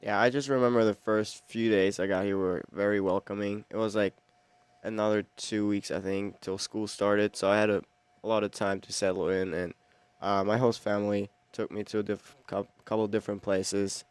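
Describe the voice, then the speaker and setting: A man's voice: on the low side, level tone, normal speed, clean tone, no echo. A young man, talking casually in an interview. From Germany. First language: German. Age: teens. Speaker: exchange student being interviewed.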